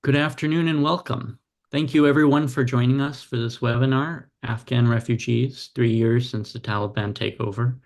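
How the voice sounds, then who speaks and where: A man's voice: mid-range voice, fairly even intonation, moderate pace, darkish tone. A man hosting a webinar over a video call and reading a formal scripted introduction. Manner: formal, read-out welcome. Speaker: webinar host.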